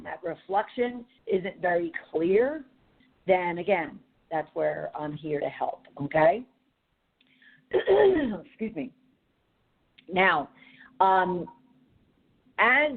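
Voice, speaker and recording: A woman with a deep, raspy voice hosting a podcast as a leadership coach, speaking directly and challengingly with frequent fillers. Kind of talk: motivational podcast monologue. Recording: tinny phone-like audio.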